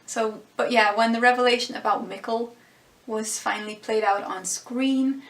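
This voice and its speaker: A woman's voice: fairly high pitch, steady tone, moderate pace, a bit bright, roomy sound. A woman vlogger talking through her thoughts on a TV series, musing and restarting her sentences, with a voice she says is going. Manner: thoughtful, musing, frequent restarts and small laughs. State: losing her voice. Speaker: vlogger reviewing a TV show. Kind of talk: casual vlog commentary.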